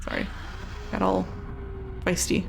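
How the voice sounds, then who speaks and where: A woman's voice: a little slow, background noise. A woman giving chatty, casual gameplay commentary, muttering reactions to herself as she plays. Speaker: let's play host.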